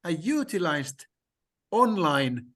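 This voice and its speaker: A man whose first language is Finnish, talking slowly and hesitantly in a discussion, with many fillers and restarts. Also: on the high side, sing-song, fairly bright tone. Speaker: language learner sharing experience. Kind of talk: conversational discussion.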